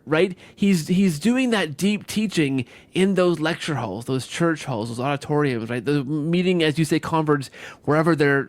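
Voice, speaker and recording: A man with a fairly high-pitched voice talks as an interview host. He speaks conversationally and haltingly, doubling back on words and tagging his points with a questioning "right?". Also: no echo. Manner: halting, repeats words, often adds "right?". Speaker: podcast host.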